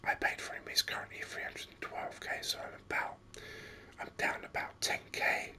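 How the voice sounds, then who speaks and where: A gaming host speaking in an unvoiced whisper, giving unhurried, rambling ASMR game commentary. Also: pushed and bright.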